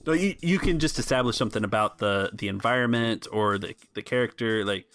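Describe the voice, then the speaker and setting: A man's voice: lowish voice, fairly quick, a bit bright, slight background noise. A man working as game master, casually narrating a tabletop game and talking players through it. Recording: music behind the voice. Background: music.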